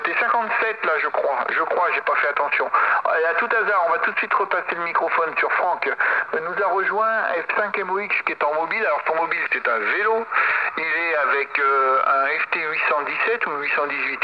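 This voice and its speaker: A man chatting casually as an amateur radio operator, rambling and hesitant, often repeating his phrases. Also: fairly high pitch. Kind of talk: casual ham radio chat. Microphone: voice received over amateur radio. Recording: dull, very noisy shortwave radio reception.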